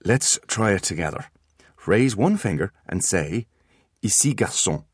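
A man's voice: low-pitched, animated, measured pace, slightly rough, dry recording, studio quality, heavily acted. A man voicing a clever teenage boy patiently lecturing his little brothers.

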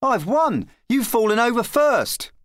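A man's voice: sits high, very animated, measured pace, no echo, clean studio sound, pitched above the performer's own voice. A man voicing a small, eager, polite and plucky boy squire in a children's storybook. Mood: triumphant. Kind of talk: children's storybook character reading.

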